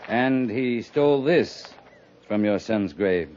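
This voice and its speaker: An actor playing a steady, reasoning cavalry captain in a 1950s radio western, speaking in a measured, earnest way. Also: male voice, lowish voice, a little hiss or hum. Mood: firm, measured. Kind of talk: 1950s radio drama acting. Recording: dull 1950s radio recording.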